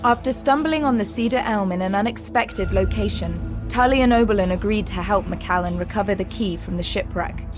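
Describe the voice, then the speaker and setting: A woman reading scripted narration for an audio drama in a measured, dramatic storytelling manner. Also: mid-range voice.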